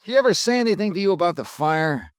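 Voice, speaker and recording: Insurance investigator, wisecracking, easygoing, lively pitch, dry studio sound, 1950s radio drama. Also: male voice, fast, clean tone, dry recording. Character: wry male insurance investigator, easygoing and flirtatious. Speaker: detective lead.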